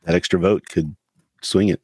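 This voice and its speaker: A man co-hosting a casual political podcast, giving his predictions in a measured, thoughtful way. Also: low-pitched, steady tone, a little mellow, slightly rough, no echo. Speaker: podcast co-host.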